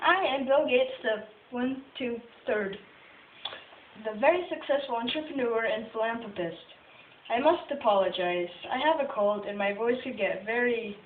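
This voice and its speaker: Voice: mid-range voice, expressive, moderate pace, lightly acted. A boastful puppet businessman presents his own story acted out by a female-sounding performer. The delivery is scripted and self-satisfied, like a puppet show. The recording is tinny and roomy, with some hiss. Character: puppet of a famous male tech entrepreneur, boastful, jokey. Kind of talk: scripted first-person puppet-show monologue. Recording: tinny phone video, roomy, distant, hissy.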